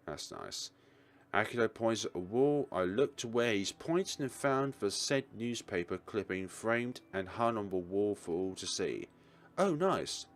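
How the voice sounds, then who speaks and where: A man's voice: fairly low pitch, lively intonation, a little slow. A man reading a visual novel aloud as a let's player, now and then stumbling over words and correcting himself.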